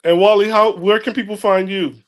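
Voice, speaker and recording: A man's voice: high-pitched, fairly even intonation, a little fast. A man hosting a casual podcast chat, joking and laughing often. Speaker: podcast host.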